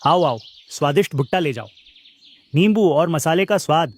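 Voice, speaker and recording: A man's voice: fairly high pitch, animated, moderate pace. A man voicing a cheerful, hardworking bird street vendor in a cartoon. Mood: hawking. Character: hardworking, cheerful male bird selling roasted corn.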